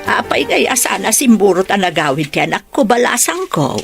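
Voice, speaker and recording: An actress playing a worried older mother with a creaky voice in an Ilocano radio drama. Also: mid-range voice, sing-song, a little fast, a bit bright, faint music behind. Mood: fretful. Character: worried older mother longing for her daughter.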